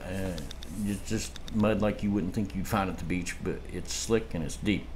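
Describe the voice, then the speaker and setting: A man's voice: low-pitched, steady tone, moderate pace, darkish tone, slight background noise. A man in his fifties telling rambling stories in a casual chat, recorded at his dining table on a camera across the table. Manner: rambling storyteller with laughs and restarts. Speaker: homesteading vlogger. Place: home dining room, indoors.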